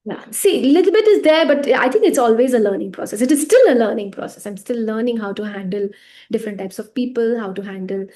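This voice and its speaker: Woman, slightly high voice, chatty, laughs often, trailing quiet endings. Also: quick pace. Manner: lively, laughs often, trails off softly at phrase ends. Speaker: pranic healing teacher and center organizer. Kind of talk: casual interview answers.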